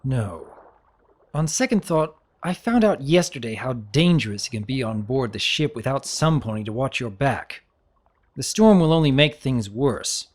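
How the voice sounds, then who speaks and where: A man's voice: medium pitch, big pitch swings, moderate pace, voice pushed up. A man voicing a polite, earnest, easily bewildered pony baron in a dramatic story reading, with a hesitant, courteous manner.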